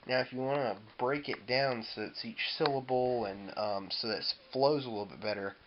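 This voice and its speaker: A man's voice: mid-range voice, normal speed. A man giving a casual, hesitant how-to demo as a musician, explaining things informally and loosely. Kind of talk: casual instructional demo talk. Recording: dull lo-fi audio with clear hiss.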